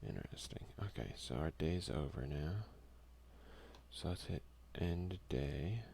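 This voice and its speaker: A man giving soft, hushed ASMR commentary as he plays a video game. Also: a bass voice, lively intonation, a little slow, vocal fry, slight background noise. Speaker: let's play streamer.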